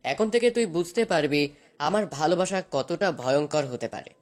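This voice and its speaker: A man's voice: a little high, expressive, brisk, natural voice. A man playing a cruel, obsessive young husband in a romantic audio drama, with a taunting, commanding manner. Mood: bitter, threatening.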